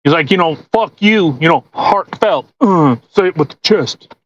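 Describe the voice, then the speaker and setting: A man's voice: fairly high pitch, expressive, moderate pace, no room sound, lo-fi sound. A man hosting a podcast in casual, mocking commentary with crude joking. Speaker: podcast host.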